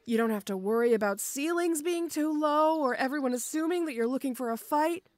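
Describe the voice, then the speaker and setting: Audio roleplay: woman as a strong but gentle orc schoolgirl, high voice, stammering and shy, very dry recording. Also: fairly quick, no room sound, natural voice. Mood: wistful. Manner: hesitant, stammering, bashful.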